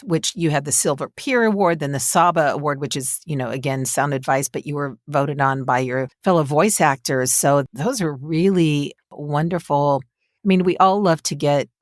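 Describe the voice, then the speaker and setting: A woman with a deep voice hosts an interview podcast. She talks conversationally to her guest, and the recording is close and dry, without room echo. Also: normal speed.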